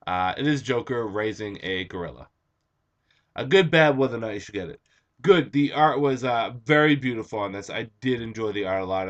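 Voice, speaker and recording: A young man with a raspy, hoarse voice, chatting casually and eagerly as a comic book reviewer, recorded on a computer webcam. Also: on the low side. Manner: enthusiastic, chatty, with frequent um and uh. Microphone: computer webcam mic.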